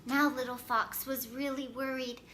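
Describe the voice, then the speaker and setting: A woman storyteller reading a children's picture book aloud, expressive and careful in her phrasing. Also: sits high, moderate pace, a little hiss or hum. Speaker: storyteller, host.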